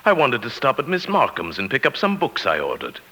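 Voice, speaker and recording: A man's voice: medium pitch, wildly swooping pitch, fairly quick, bright, forceful tone, slightly rough, exaggerated voice. An actor playing a mild, hesitant, middle-aged professor bossed around by his wife, in 1940s radio drama style. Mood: meek, evasive. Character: meek henpecked bearded English professor, quietly seething. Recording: old 1940s radio broadcast with music.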